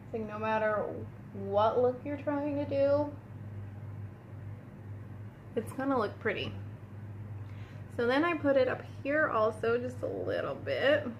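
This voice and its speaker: A woman's voice: on the high side, very animated, a little slow, pauses often, lo-fi sound, slight background noise. Chatty woman doing a makeup tutorial. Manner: chatty, talks while applying makeup, addresses viewers as "you guys". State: sniffling from a cold room. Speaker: beauty vlogger. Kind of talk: casual makeup tutorial talk. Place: indoor room at home.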